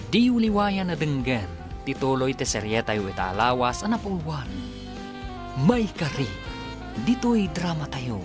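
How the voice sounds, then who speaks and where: A man narrating as a radio drama announcer, in a scripted, emphatic, drawn-out dramatic delivery. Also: medium pitch. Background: music.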